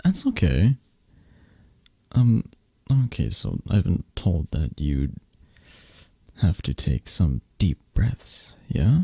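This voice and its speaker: A man playing a possessive, flirtatious mafia boss in an intimate ASMR romance roleplay, murmuring sleepily and teasingly with a breathy, husky voice. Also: low voice, wildly swooping pitch, takes it slowly, long pauses, mellow, no room sound, clean studio sound, exaggerated voice. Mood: soothing, tender.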